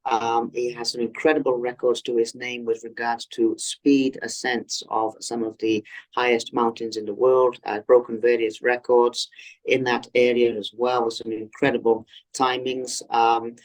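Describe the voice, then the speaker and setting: A man hosting an interview podcast, earnest and effusive, often pausing on "um" as he builds his questions. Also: medium pitch, level tone, poor audio. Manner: earnest and effusive, with frequent ums and restarts. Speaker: podcast host.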